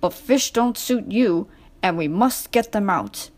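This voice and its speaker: A woman's voice: medium pitch, animated, measured pace, a little mellow. A woman voicing a genial, authoritative male railway controller in a storybook, speaking emphatically. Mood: amused.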